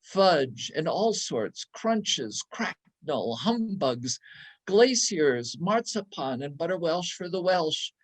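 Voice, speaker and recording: A man giving a dramatic storybook reading with measured, deliberate and expressive phrasing. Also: fairly high pitch. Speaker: reader in a readers theater.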